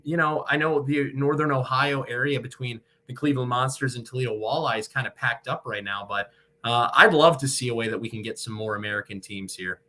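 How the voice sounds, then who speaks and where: A man's voice: mid-range voice, bright, forceful tone. A young man, a sports broadcaster, giving articulate, wordy answers as an interview guest. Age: young adult. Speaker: guest, radio hockey play-by-play broadcaster.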